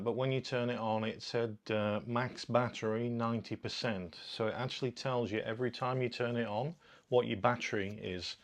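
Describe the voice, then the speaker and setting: A man's voice: medium pitch, vocal fry. A man presenting a product in a casual, informal way, explaining things step by step as he demonstrates. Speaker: product review host. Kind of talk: casual unboxing and fitting demonstration.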